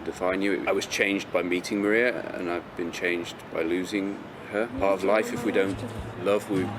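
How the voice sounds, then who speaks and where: A man's voice: low-pitched, steady tone, normal speed, background noise. A middle-aged man gives reflective, hesitant interview answers, repeating words as he searches for phrasing. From Chester, north-west England. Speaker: interview guest.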